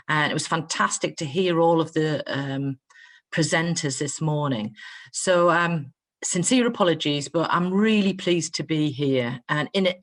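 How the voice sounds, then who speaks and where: A middle-aged woman, a university dean, giving an earnest welcome speech over a video call, with frequent ums. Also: low voice. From not from Greater Manchester. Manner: warm, earnest, with frequent um fillers.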